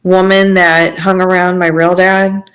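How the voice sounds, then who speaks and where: A woman speaking as a podcast guest, giving a reflective, halting personal testimony in a conversational way. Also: on the low side, flat delivery, fairly bright tone.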